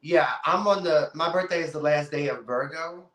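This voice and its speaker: A man in his thirties hosting a casual interview podcast, teasing and playful with quick exclamations. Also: a little high, echoey room, over a noisy crowd. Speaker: podcast host.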